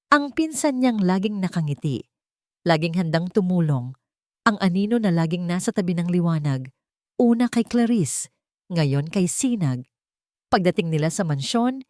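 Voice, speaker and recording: A woman narrating an audio drama in a measured, dramatic storytelling manner. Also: on the low side, a little mellow, no room sound, clean studio sound.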